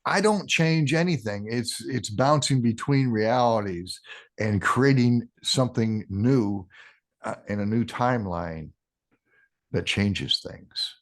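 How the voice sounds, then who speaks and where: A man in his sixties, a podcast guest talking casually in a halting way, restarting sentences with ums. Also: mid-range voice. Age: 60s. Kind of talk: casual interview talk.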